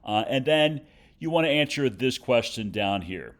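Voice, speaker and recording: A man with a mid-range voice talks as a teacher walking students through instructions on a screen. He goes carefully step by step, in halting phrases, and leans on the key words. The delivery is informal and instructional. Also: mid-range voice, expressive. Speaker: teacher giving homework directions.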